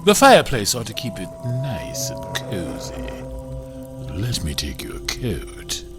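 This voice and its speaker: An actor playing a tall, sinister vampire host in an audio drama, folksy and insistently courteous. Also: male voice, on the low side, huge pitch swings, slow pace, over music, heavily acted. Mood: courteous, menacing.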